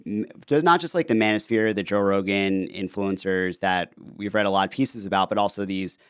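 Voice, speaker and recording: Deep-voiced man talking fast and easy. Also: clean tone, dry recording, clean studio sound. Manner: fast, animated talker with many fillers. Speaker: podcast guest, author. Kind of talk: casual interview conversation.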